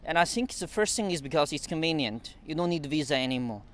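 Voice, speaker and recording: A man whose first language is Mandarin, speaking English with a Chinese accent in a casual interview, pausing often with fillers and restarts and chuckling now and then. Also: a little high, animated, a bit bright. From China. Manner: halting non-native English with frequent fillers and restarts. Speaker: interview guest.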